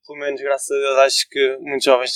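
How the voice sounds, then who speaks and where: A man's voice: mid-range voice, monotone, fast talker, a bit bright, clean tone, no room sound. A young man with a European Portuguese accent, speaking sincerely and personally in a casual podcast discussion. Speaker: podcast co-host.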